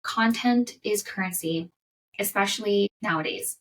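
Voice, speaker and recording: Woman podcast coach, kinda husky raspy voice like she's getting sick, chatty advice, sounds a bit far from the mic. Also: mid-range voice, a little slow, a bit bright. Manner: conversational, repeats words and restarts, upbeat coaching. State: slightly raspy from coming down sick. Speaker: podcast host and aesthetics business coach. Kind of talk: solo podcast advice monologue.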